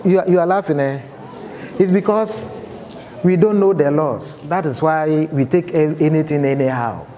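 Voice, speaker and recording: A man's voice: fairly high pitch, normal speed, warm tone, some chatter behind. A man giving a fire safety seminar, explaining step by step and checking that the audience understands. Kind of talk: seminar lecture. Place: large lecture room. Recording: echoey hall with faint background noise.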